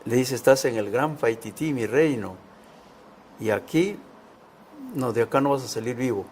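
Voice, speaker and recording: Gravelly man, halting storyteller. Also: medium pitch, darkish tone, a little hiss or hum. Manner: hesitant, halting phrasing. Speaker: guest author, children's writer. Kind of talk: casual interview talk and storytelling.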